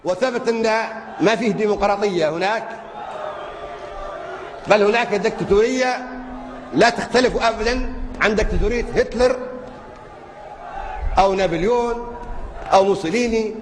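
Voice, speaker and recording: A man's voice: high voice, racing, pauses often. A man giving a fiery, rhetorical public speech to a crowd, piling up repeated phrases. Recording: reverberant hall with crowd and noise.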